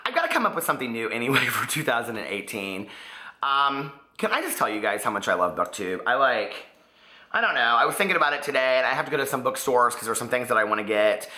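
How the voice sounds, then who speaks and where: A man's voice: mid-range voice, fast talker. A man chatting casually to camera about his reading, his voice still partly gone and coming back. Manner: chatty, rambling, expressive. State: voice partly lost, recovering. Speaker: book vlogger. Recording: echoey room.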